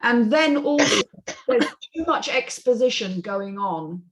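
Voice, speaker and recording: A woman's voice: a little high, expressive, unhurried, poor audio. A woman on a script reading panel giving an unscripted, emphatic and blunt critique in discussion.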